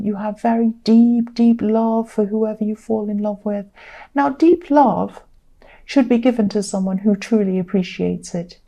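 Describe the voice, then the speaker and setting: Croaky, tired woman reading tarot. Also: mid-range voice, dark tone. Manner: earnest, repeats phrases for emphasis. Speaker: tarot reader. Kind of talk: casual tarot reading to camera.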